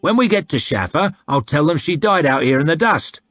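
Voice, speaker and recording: A man voicing a coarse, bullying soldier who haggles loudly over a price. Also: lively intonation, a little fast, faint music behind. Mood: haggling. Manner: loud, high and bullying. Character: coarse loud male slave-driving soldier, crude and haggling.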